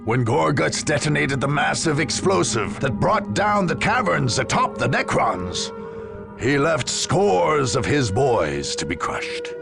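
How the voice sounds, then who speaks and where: A man's voice: on the high side, big pitch swings, takes it slowly, creaky, exaggerated voice. A man playing a grave chronicler, giving scripted, theatrical narration of a grim war for a video game, with music behind him. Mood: grave, dramatic.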